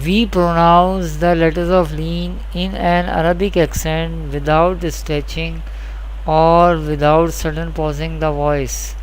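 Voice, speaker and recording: Very slow, deliberate tutorial voice. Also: mid-range voice, flat delivery, slight background noise, poor audio. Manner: deliberate, repetitive, question-and-answer explaining. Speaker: Quran tajweed teacher. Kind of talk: scripted lesson with spelled-out examples.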